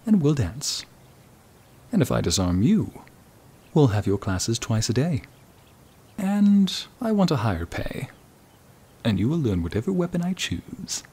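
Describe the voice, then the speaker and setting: A man's voice: medium pitch, darkish tone, husky, full character voice. A man acting in an audio roleplay as an uptight, snooty combat instructor who banters bossily and flirtatiously with a royal pupil. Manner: mildly bossy, bantering, flirtatious.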